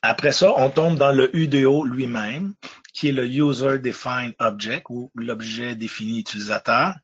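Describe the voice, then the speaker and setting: Man, Quebec French accent, congested, husky, hesitant, dull lo-fi audio. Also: medium pitch, fairly even intonation, normal speed. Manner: hesitant, many fillers and restarts. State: congested with a cold. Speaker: webinar presenter. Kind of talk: casual explanatory webinar.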